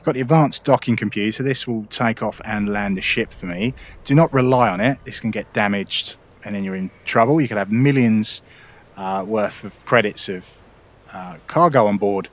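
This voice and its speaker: A man's voice: fairly low pitch, muffled recording. A man hosting a gaming video, casually walking viewers through his ship setup in a chatty, explanatory way with frequent "uh"s and restarts. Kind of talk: casual gameplay walkthrough.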